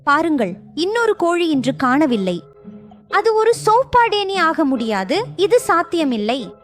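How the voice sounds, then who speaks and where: A woman's voice: very high voice, big pitch swings, a little slow, soft background music, pitched above the performer's own voice, full character voice. A woman voicing a clever young village wife in a children's story, with emphatic delivery. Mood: urgent. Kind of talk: children's moral story dialogue.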